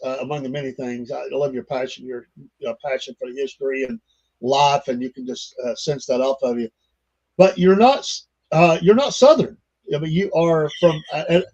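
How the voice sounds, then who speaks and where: An older man hosts a casual podcast chat, rambling along with plenty of fillers and folksy asides. His voice sits a little high for a man, and the recording sounds dull and lo-fi. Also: darkish tone. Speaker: podcast host.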